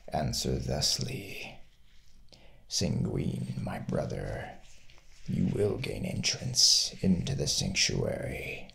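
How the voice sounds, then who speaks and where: A young man playing a sinister, courteous assassin cult leader in a hushed, half-whispered ASMR roleplay. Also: rumbling low, big pitch swings, slow, vocal fry, full character voice. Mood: grave, commanding. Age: young adult.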